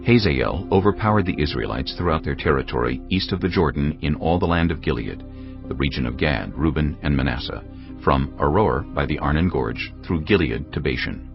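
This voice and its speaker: A man narrating scripture in a steady, measured reading for a dramatized audio Bible. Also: very deep voice, gravelly, dull audio, over music.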